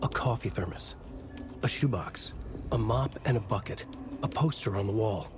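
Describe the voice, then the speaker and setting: A man playing a brooding novelist in a video game, narrating dark prose in grave, deliberate phrases. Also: fairly low pitch, music in the background, close to an everyday voice.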